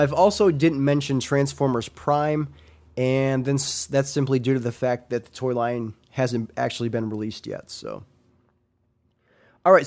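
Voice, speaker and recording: A young man with a slightly nasal, congested voice talks through his picks in a loose, rambling solo podcast. He pauses on ums and restarts his sentences as he goes, speaking at an ordinary middle pitch. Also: darkish tone. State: congested from a sinus infection. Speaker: podcast host. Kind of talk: casual solo commentary.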